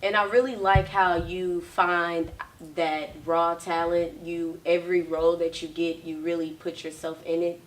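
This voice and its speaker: A woman interviewing an actor in a relaxed way, often affirming with "mm-hmm". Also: on the low side, a little slow, few pauses, slight background noise. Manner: friendly, affirming with frequent "mm-hmm". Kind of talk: casual interview. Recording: distant mic.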